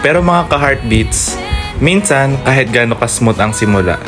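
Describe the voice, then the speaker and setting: A man's voice: mid-range voice, lively intonation, moderate pace, over music. A man narrating a scripted radio drama as its host, speaking to listeners directly in a teasing way.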